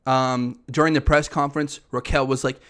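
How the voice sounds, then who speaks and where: A man's voice: mid-range voice, steady tone, moderate pace, fairly bright tone, clear, resonant tone. Casual man bantering about sports picks. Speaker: co-host of an MMA podcast. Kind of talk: casual sports chat, fight predictions.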